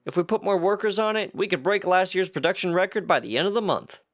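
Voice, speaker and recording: A man's voice: sits high, fast talker, faint music behind, voice pushed up, natural voice. A man voicing an eager minotaur mine worker, a loyal right-hand man with casual workman's talk, in a fantasy story. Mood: pleased, confident.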